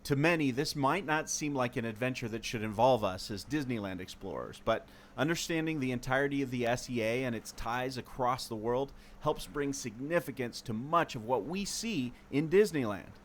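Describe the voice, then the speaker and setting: A man's voice: medium pitch, normal speed, hardly any pauses, a little hiss or hum, lightly acted. A man acting an earnest, knowledgeable explorer in a scripted audio adventure, with a theatrical, explanatory delivery. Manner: expository, theatrical. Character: earnest male explorer, knowledgeable enthusiast of secret lore.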